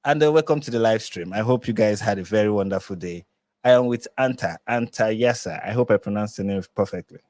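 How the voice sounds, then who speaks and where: A low-voiced man from Nigeria hosts a live stream in casual chat. He rambles, restarts his sentences and leans on fillers. The sound is dry and close. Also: fairly quick, a little mellow, no echo. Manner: rambling, many fillers and restarts, laughs often.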